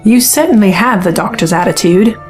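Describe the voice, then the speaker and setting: An actress playing a wary young news reporter in an audio drama, brisk and incredulous with formal phrasing. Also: female voice, medium pitch, a little mellow, faint music behind, close to an everyday voice. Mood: sceptical.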